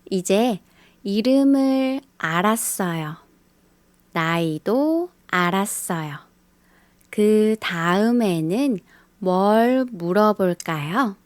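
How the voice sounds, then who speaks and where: A woman teaching a beginner language lesson, speaking in a slow scripted style and carefully repeating the example phrases. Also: medium pitch, dry recording. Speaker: Korean teacher.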